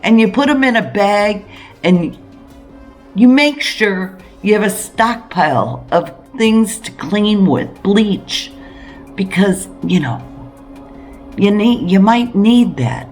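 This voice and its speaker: A woman's voice: medium pitch, lively intonation, slow, darkish tone, soft background music. A woman hosting a homesteading video, talking straight to viewers in an earnest, emphatic way with rhetorical questions. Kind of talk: direct-to-viewer preparedness talk.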